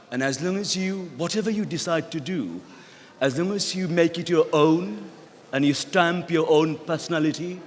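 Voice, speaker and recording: A middle-aged man with a Bangladeshi accent, a native Bengali speaker, gives a motivational ceremony speech as a guest, in measured, halting short phrases. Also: on the high side. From rural Bangladesh. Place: large indoor event hall. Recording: echoey hall with long reverb.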